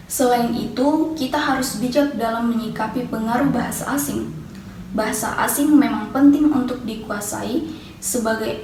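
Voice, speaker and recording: A young woman, a university student delivering a formal prepared speech in a measured, declamatory manner. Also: on the high side, dark tone. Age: young adult. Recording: echoey room, distant mic, faint noise.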